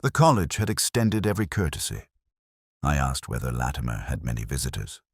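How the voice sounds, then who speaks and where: A very deep-voiced, middle-aged detective narrates his own case. He is brooding and scholarly, phrasing things with literary solemnity. His intonation moves expressively within that low register, and the recording is dry and close. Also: very animated, fairly quick, husky, no echo, heavily acted. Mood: brooding, reflective. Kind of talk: scripted first-person detective narration.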